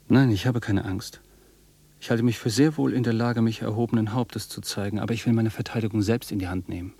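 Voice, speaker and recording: A man's voice: on the low side, fairly even intonation, fairly quick, dark tone, slightly rough. An actor playing an obsessive, defiant, imperious rich man in a German radio drama, declaiming and repeating his commands.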